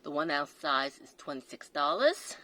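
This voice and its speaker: A woman's voice: low-pitched, big pitch swings, normal speed, noisy background. A woman with a non-native accent reviewing perfume in a casual, hesitant way, full of fillers and restarts. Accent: non-native English accent. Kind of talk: casual product review vlog.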